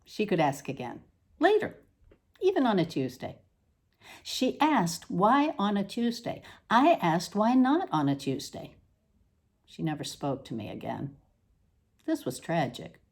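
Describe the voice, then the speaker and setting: A woman giving a deliberate, expressive literary reading of an essay, with pointed emphasis on the words. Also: mid-range voice. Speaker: reader. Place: at home.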